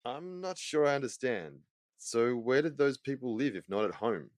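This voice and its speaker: An actor playing a curious, incredulous interviewer from a future society in a fiction podcast. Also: male voice, mid-range voice, very animated, normal speed, darkish tone, no echo, studio quality, close to an everyday voice. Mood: skeptical, puzzled.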